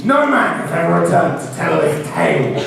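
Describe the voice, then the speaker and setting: A man's voice: a little high, sing-song, moderate pace, vocal fry, crowd noise, exaggerated voice. A man acting a commanding, ominous quest-giver in an amateur school pantomime, declaiming in a very echoey hall. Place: school stage, filmed before an audience. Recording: very echoey hall, distant camera.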